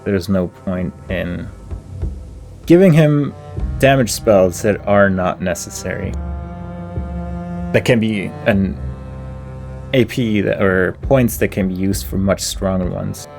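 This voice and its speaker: A man commentating his gameplay, thinking aloud as he weighs options, with pauses and fillers. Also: sits low, vocal fry, music in the background. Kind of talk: thinking aloud while playing.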